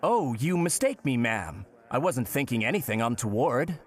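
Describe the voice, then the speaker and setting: An actor playing a courteous, curious, old-fashioned gentleman traveler in a period audio drama, with polite and playfully florid speech. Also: medium pitch, big pitch swings, moderate pace. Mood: courteous, sheepish.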